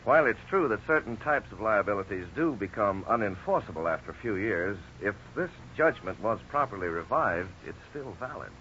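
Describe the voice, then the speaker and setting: An actor playing a frank, courteous lawyer in a 1950s radio drama, speaking in a formal, measured, explanatory way. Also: male voice, lowish voice, animated, bright, forceful tone. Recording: dull, noisy 1950s radio recording.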